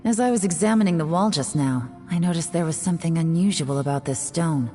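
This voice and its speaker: A woman voicing a cool, sharp-eyed woman spy in a video game who speaks in a composed, measured way. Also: on the low side, big-room sound, soft background music, lightly acted.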